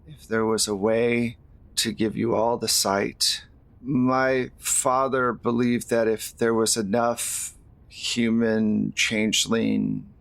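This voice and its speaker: A man playing an embittered fairy in a tabletop game, grave, deliberate and resentful of humans. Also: on the low side, gravelly, a bit bright, dry recording, exaggerated voice. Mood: resigned, arguing.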